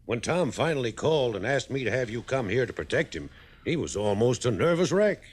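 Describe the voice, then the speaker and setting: A man's voice: mid-range voice, sing-song, brisk, husky. An actor playing an earnest, worried American man briefing an investigator in a 1950s radio drama, telling his account insistently and emphatically.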